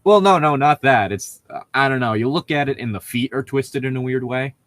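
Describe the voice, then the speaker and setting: A man's voice: mid-range voice, a little fast, a bit bright, no echo. A man in casual conversation as a podcast co-host, swearing freely and joking crudely. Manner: crude joking, profane, laughs often. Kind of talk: casual chat.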